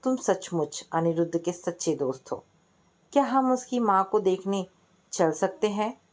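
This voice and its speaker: A woman's voice: mid-range voice, lively intonation, a little slow, darkish tone, natural voice. A woman voicing a young female student in a story, caring and insistent, with a questioning, teasing manner. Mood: concerned, insistent.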